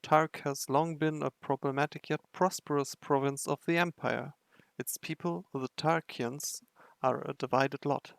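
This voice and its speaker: A man's voice: fairly high pitch, vocal fry, no room sound. A man giving casual playthrough commentary on a game, speaking in a hesitant way as he thinks aloud. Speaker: game video narrator and player.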